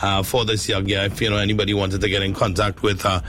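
Low-voiced Trinidadian radio interviewer, a hesitant man. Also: little pitch movement, a bit bright. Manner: hesitant, halting, repetitive phrasing. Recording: clearly noisy background ambience.

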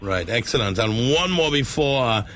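A Trinidadian man hosting a live radio interview, speaking in a halting way with repeated words and hesitations. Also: medium pitch, expressive, a bit bright. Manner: hesitant, halting, repetitive phrasing. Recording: clearly noisy background ambience.

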